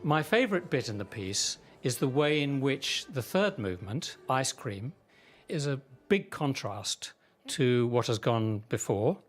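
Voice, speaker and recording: A man, an amateur musician, speaking in a measured, articulate way in an interview. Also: mid-range voice, sing-song. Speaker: amateur mandolin player. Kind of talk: informal interview.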